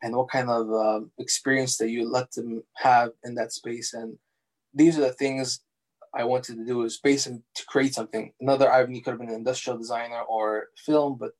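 A young man whose first language is Farsi, answering interview questions in a relaxed, halting way with many fillers and restarts. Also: mid-range voice, rough recording. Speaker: interview guest, architectural designer.

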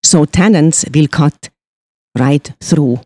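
A woman from Switzerland, a sommelier, explaining wine pairing in a measured way with pauses. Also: low voice, mellow, no room sound. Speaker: sommelier guest.

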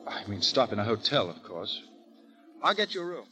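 An actor playing a cheerful, naive young gentleman in a 1930s radio comedy, eager and polite. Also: fairly low pitch, sing-song, fairly quick. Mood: concerned, brisk.

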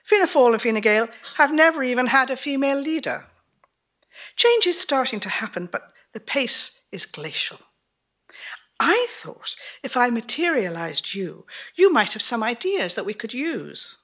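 Earnest young female activist, acted by a woman; polite yet frustrated, theatrical, bright and lively delivery. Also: on the high side, normal speed. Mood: regretful, exasperated. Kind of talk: theatrical two-character storytelling performance.

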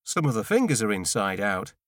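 A man reading a first-person novel in an understated, dry, clearly spoken storytelling manner. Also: lowish voice, very animated, brisk, a bit bright, dry recording. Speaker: audiobook narrator.

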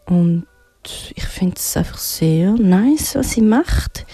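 A woman's voice: medium pitch, expressive, takes it slowly, dark tone, slightly rough, no room sound. A woman with a Swiss German accent presenting music on the radio in a casual, conversational, informal way. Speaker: radio host.

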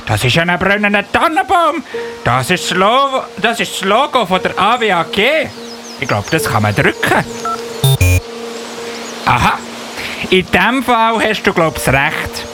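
Man voicing a high, fussy elf policeman. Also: big pitch swings, a little slow, pauses often, over music. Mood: earnest, alarmed. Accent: Swiss. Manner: indignant, officious, with repeated verbal tic. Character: fussy, self-important elf policeman of the Christmas corps. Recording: noticeable buzzy background noise.

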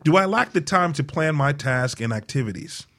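A man's voice: a little high, lively intonation, normal speed, a bit bright. A man co-hosting a casual radio discussion, talking conversationally and putting questions to the listeners. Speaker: talk show co-host.

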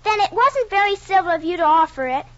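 A woman's voice: very high voice, lively intonation, fast talker, a bit bright, dull audio, a little hiss or hum. A polite, wondering young girl. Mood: earnest. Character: polite curious girl lost in a strange land.

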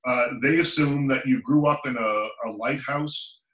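A man's voice: mid-range voice, measured pace, fairly bright tone. Interviewer man in an echoey room. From Nova Scotia. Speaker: interviewer host. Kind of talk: casual interview. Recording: roomy echo.

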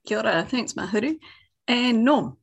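A woman from New Zealand hosts an educational field trip over a video call. Her voice is husky with some creak, and she keeps things friendly and organised. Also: on the high side, expressive, moderate pace. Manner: friendly, organised, opens with a chanted karakia. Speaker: host and field trip guide. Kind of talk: hosting an educational web conference.

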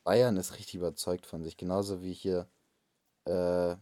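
Young guy on a podcast, really low voice, kinda rambly. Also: flat delivery, normal speed, warm tone. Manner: rambling, hesitant restarts. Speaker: podcast co-host. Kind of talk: casual chat.

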